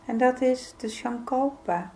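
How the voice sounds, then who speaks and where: Slow-paced woman guiding a meditation. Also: fairly high pitch, a little hiss or hum. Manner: soothing, gentle, unhurried with pauses. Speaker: yoga nidra meditation guide. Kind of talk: guided meditation, calm spoken instruction.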